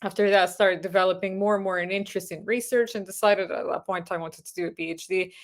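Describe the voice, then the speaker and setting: A woman in her thirties from Portugal, a neurosurgery registrar and lecturer, giving a webinar talk in English with a Portuguese accent, often pausing on fillers and restarting phrases. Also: mid-range voice, steady tone. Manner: hesitant, many fillers and restarts, earnest.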